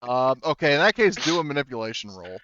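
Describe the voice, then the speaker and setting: A man with a clear, smooth voice runs a tabletop roleplaying game. He improvises the narration haltingly, thinking aloud as he goes. The sound is dry and a little dull. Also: mid-range voice, big pitch swings, a bit bright, no room sound. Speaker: game master. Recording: slightly dull, band-limited audio.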